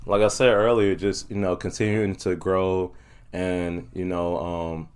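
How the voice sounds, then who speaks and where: A man with a low-pitched voice speaks as an entrepreneur being interviewed. He is talkative and conversational, padding his sentences with frequent "you know"s in a casual, unscripted way. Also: little pitch movement, unhurried, clean tone. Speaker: podcast guest, entrepreneur.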